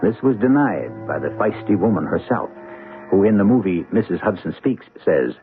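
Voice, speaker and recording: A man hosting a radio mystery series with deliberate, portentous scripted narration. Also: low voice, animated, soft background music. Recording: narrow old radio broadcast sound.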